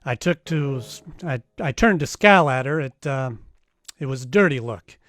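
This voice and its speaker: An actor playing a tough, wry private detective in a 1940s-style radio drama, narrating and trading lines. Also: male voice, mid-range voice, animated, moderate pace, fairly bright tone, lightly acted. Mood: wry narration.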